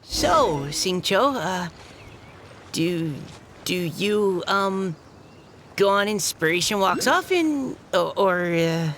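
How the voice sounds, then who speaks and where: A woman's voice: lowish voice, animated, slow pace, a bit bright, slight background noise, full character voice. A woman playing a shy, earnest young boy soldier in a video game, with a nervous manner.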